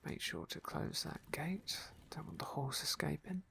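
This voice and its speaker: A man's voice: on the high side, moderate pace, vocal fry. A man whispering wry commentary as he plays a fantasy game for ASMR. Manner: soft, wry, playful asides.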